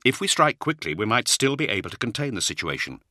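An earnest warrior-librarian, played by a male narrator, pleading with intensity and respect. The voice is raised well above the narration, very bright and forceful, in a dramatic audiobook style. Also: medium pitch, big pitch swings, fast talker, no room sound, studio quality, voice pushed up. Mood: urgent. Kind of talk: audiobook character acting.

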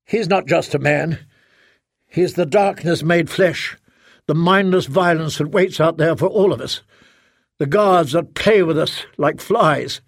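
A man voicing a helpless, defensive middle-aged father who is a writer, speaking haltingly in an audiobook. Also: on the high side, no room sound, clean studio sound. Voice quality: hesitant, halting. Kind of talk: audiobook character acting.

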